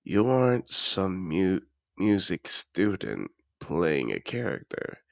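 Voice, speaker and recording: A man playing a cultured, possessive dragon disguised as a human, effusive and refined, in a gravelly-voiced audio roleplay addressed to the listener. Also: low voice, very animated, slow, no room sound, lo-fi sound, full character voice. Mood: excited, urging. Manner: refined, effusive, theatrical phrasing.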